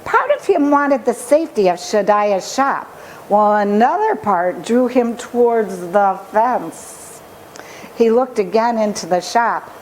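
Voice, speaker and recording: A woman reading a children's story aloud with expressive, dramatic and deliberate phrasing. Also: a little high, slight background noise, poor audio.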